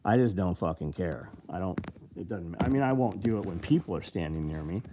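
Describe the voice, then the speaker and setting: A man's voice: deep voice, expressive, brisk, noisy background. A man in his fifties hosting a casual live show, answering viewer comments in a blunt, rambling and profane way. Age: 50s.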